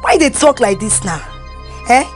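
A woman's voice: a little high, moderate pace, faint music behind, natural voice. An actress playing a boastful young woman bound for university, speaking Nigerian Pidgin with a bragging, swaggering manner. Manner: bragging, swaggering, animated storytelling.

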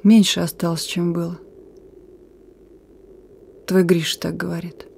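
A woman's voice: fairly low pitch, level tone, measured pace, vocal fry, a little mellow, slight background noise. An actress playing a young, steadfast and thoughtful wartime partisan radio operator in a radio play, her voice often dropping toward a whisper. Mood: earnest, hopeful.

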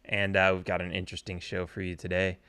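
A man's voice: sits low, monotone, fast, pushed and bright, clean tone, no room sound. A man in his thirties hosting a casual interview over a video call from home, joking and teasing his guest. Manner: joking, teasing, laughs often. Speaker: podcast host. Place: home room. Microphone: video call with desk mic.

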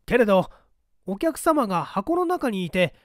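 腰が低く慌て気味のトラック運転手が丁寧に弁明する様子を演じる男性。. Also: male voice, high voice, very animated, no echo, clean studio sound. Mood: apologetic, earnest. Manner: polite, apologetic, hurried explaining. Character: humble, flustered male truck driver giving testimony.

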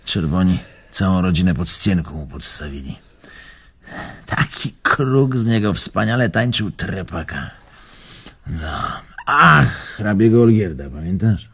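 An actor playing a nostalgic old man reminiscing in a radio drama, with a husky, creaky voice. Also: low-pitched, big pitch swings, measured pace, a bit bright, heavily acted. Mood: animated nostalgia. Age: older.

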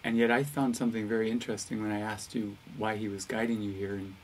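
A man's voice: lowish voice, level tone, quick pace. Distant-miked man interviewing reflectively. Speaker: interviewer, community host. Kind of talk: conversational interviewing. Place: indoor set with curtain backdrop, seated. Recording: distant mic, background noise.

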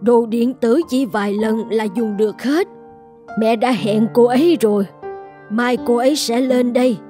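A kindly old cartoon grandmother, acted by a woman speaks in a fairly high voice. She advises and reassures her family, gently insisting. Music plays behind her. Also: steady tone, a little slow, natural voice. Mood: reassuring. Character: kindly, insistent elderly grandmother and mother-in-law. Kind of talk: cartoon dubbing.

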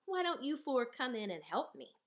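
A woman's voice: high voice, big pitch swings, normal speed, highs cut off. A woman voicing a friendly, welcoming girl rag doll in a storybook, with an inviting manner.

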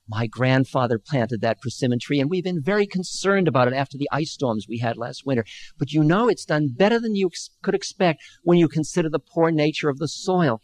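A tiny old gentleman in his seventies, as a male storyteller puts him on, chattering with a high, lilting delight. His voice climbs well above the narrator's and bubbles along warmly, recorded dry and close. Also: dry recording, lightly acted. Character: tiny joyful old Japanese man, seventies.

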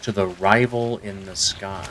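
A man's voice: deep voice, fairly even intonation, measured pace, fairly bright tone, slight background noise. A man talking casually with his crewmates during a video game. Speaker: gamer playing co-op with friends. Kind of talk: casual gaming chat.

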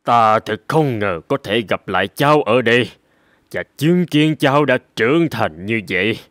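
A man voicing a kindly older mentor in a cartoon, speaking in a measured, earnest and encouraging way. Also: medium pitch, lively intonation, a bit bright, dry recording, studio quality, natural voice. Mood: proud, tender. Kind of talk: animated cartoon dialogue.

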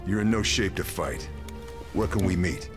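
An actor playing a terse, calm male soldier in a video game, with a very deep voice. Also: moderate pace. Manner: terse, calm military delivery. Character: stoic male soldier sniper, middle-aged. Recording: game audio under music.

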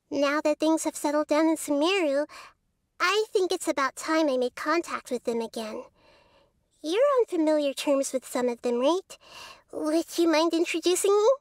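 A small childlike goddess of wisdom speaks in a very high, soft, girlish voice. She sounds gentle, thoughtful and a little shy. The game recording is close and dry. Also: no echo. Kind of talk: game character voice line.

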